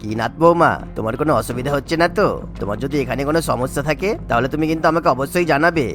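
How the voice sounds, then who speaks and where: A man's voice: on the high side, racing, over music, natural voice. A man voicing a kindly, attentive male relative in a cartoon, with a reassuring manner. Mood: solicitous. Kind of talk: cartoon character dialogue.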